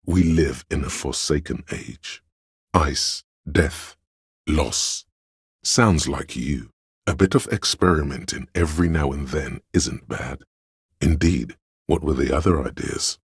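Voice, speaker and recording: Very deep, gravelly, grandiose inner voice. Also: male voice, no echo, clean studio sound, heavily acted. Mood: somber, poetic, then musing, grand. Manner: theatrical, deliberate, grandiose. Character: inner voice of artistic imagination, pompous, opinionated, coaxing critic. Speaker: video game voice actor. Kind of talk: dramatic second-person game narration.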